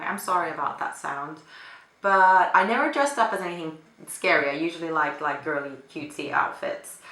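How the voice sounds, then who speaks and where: Young woman, casual vlog chat, low pitch and a bright tone, in an echoey apartment room. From Finland. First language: Finnish. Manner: chatty, hesitant, with fillers and little laughs. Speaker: vlogger. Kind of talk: casual chat answering a question tag.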